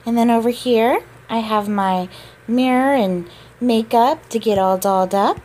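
A woman's voice: a little high, a little slow, darkish tone. A woman who just woke up, giving a casual voice-over tour of her game apartment, chatty and drawn out with small laughs.

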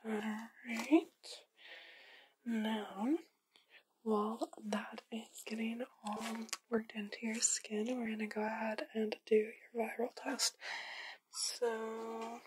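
A young woman whispering in an ASMR medical roleplay, giving hushed, soft instructions step by step as an examiner. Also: on the high side, level tone, takes it slowly, slight background noise, low-quality recording. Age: young adult. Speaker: ASMR performer role-playing a medical examiner. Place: small indoor studio with dark backdrop.

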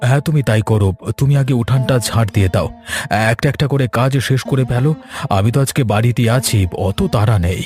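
A man plays a tired, prudent village husband in a cartoon story. He explains things in a homely, unhurried way, at middle pitch over faint music. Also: darkish tone, close to an everyday voice. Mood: calm, practical. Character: weary, prudent village vegetable-seller husband. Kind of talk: Bengali cartoon story dialogue.

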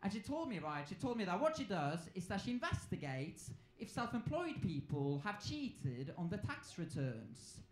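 A man's voice: high-pitched, sing-song, normal speed, hardly any pauses. Young Norwegian man doing echoey live stand-up. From Norway. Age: 20s. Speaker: stand-up comedian. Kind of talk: live stand-up comedy routine. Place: on stage in a comedy club. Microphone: handheld stage microphone. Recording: echoey live club sound with noise.

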